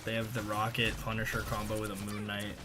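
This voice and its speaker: A man's voice: on the low side, completely flat delivery, brisk, music in the background. A man giving casual, slangy, conversational voice-over commentary on video gameplay, as a gaming video creator.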